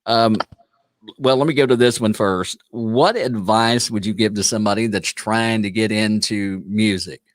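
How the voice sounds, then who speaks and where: A man's voice: fairly low pitch, steady tone, normal speed, dry recording. A man hosting an interview show, chatting casually with lots of fillers and restarts. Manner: rambling, many fillers and restarts. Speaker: show host.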